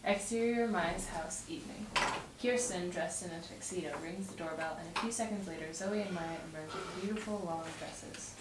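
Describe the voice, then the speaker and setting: A woman's voice: fairly low pitch, moderate pace. A young woman reading scripted stage directions aloud at a table read. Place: home dining room, around a table. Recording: roomy, distant mic, clear noise.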